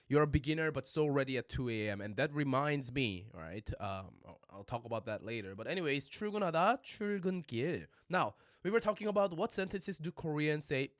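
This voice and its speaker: A man's voice: medium pitch, a bit bright, no echo, studio quality. A man teaching a casual livestream lesson, chatting with viewers and repeating phrases for learners. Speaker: Korean language teacher.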